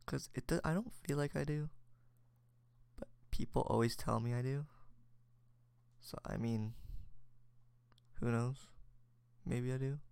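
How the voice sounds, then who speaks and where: Young guy rambling on his podcast, kinda gravelly, dry close sound. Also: medium pitch, no echo. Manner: rambling, many fillers and restarts, reflective. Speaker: podcast host. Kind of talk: solo casual chat.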